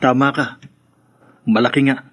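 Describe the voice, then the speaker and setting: An actor playing an earnest, wounded young adult son in a radio drama. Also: male voice, medium pitch, level tone, unhurried, close to an everyday voice. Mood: bitter.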